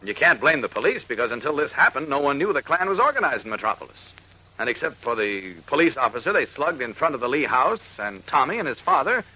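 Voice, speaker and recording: An actor playing an earnest, level-headed newspaper reporter with a husky voice in a 1940s radio drama. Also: male voice, mid-range voice, big pitch swings, quick pace. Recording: muffled vintage radio recording.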